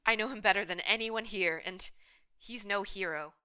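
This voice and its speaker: A woman's voice: medium pitch, moderate pace, bright, forceful tone, smooth, lightly acted. A woman playing a bossy, blunt, hard-nosed restaurant manager in a radio play. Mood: argumentative. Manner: blunt, assertive.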